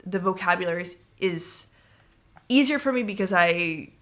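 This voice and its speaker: A young woman hosting a casual podcast and telling a story in an animated, indignant way. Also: fairly low pitch, moderate pace. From English-speaking country, living in France eight years. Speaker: podcast host. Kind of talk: casual solo podcast chat.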